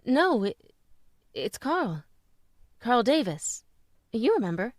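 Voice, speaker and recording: A woman playing an earnest, flustered young mother with a halting manner in an old-time radio drama. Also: on the high side, sing-song, no echo, studio quality, full character voice. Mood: hesitant.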